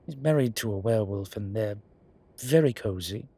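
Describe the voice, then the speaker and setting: Male actor as a sardonic vampire deacon for roleplay audio: lowish, husky, theatrical, protective and dryly witty. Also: expressive, normal speed, warm tone. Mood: flirtatious, playful. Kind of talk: ASMR roleplay audio drama addressed to the listener.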